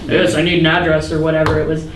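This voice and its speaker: Roomy, low-voiced woman chatting on a panel. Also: flat delivery, fast. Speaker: voice actor on a podcast panel. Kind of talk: casual panel chat. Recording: roomy, distant mic, faint background noise.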